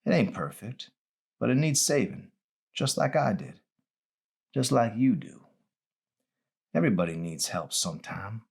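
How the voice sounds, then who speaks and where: A man performing a raspy old man on his deathbed in an audiobook. He talks in a folksy, colloquial way, bitter at the world yet tender, handing down hard-won advice. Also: on the low side, big pitch swings, measured pace, long pauses, a little mellow, pitched above the performer's own voice, full character voice. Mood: earnest. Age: older. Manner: colloquial, folksy, wistful preaching.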